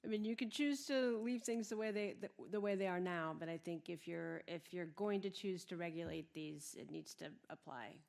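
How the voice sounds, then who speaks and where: A woman's voice: fairly low pitch, normal speed, vocal fry, hardly any pauses, a little mellow. A woman advising a township board, frank and wry. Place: township meeting room.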